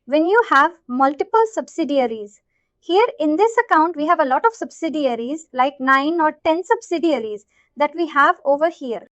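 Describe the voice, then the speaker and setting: A woman's voice: sits high, expressive, normal speed, fairly bright tone, no room sound, muffled recording. A woman trainer explaining a concept to learners step by step, repeating herself and checking that they follow. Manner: repetitive, checks understanding often. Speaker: software trainer.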